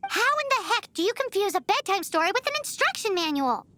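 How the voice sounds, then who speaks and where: A woman voicing a tiny, cheeky, childlike fairy companion in an anime-style video game, squeaky and exclamatory. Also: sing-song, a little fast, bright, forceful tone, no echo, exaggerated voice. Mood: cheeky, indignant. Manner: exclamatory, refers to self by name. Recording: music behind the voice.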